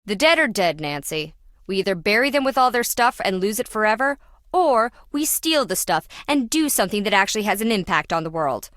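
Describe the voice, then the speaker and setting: A woman's voice: medium pitch, very animated, brisk, a bit bright, no echo, studio quality. An actress playing a greedy, sarcastic treasure-hunting businesswoman in a video game, blunt and dismissive. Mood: cynical. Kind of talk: video game character dialogue.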